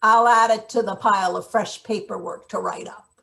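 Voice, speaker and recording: A woman playing a sardonic, deadpan maintenance boss in a table read of a satirical play. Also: medium pitch, moderate pace, gravelly. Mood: dry, blunt. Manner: deadpan, blunt. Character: gruff, sardonic female maintenance worker boss.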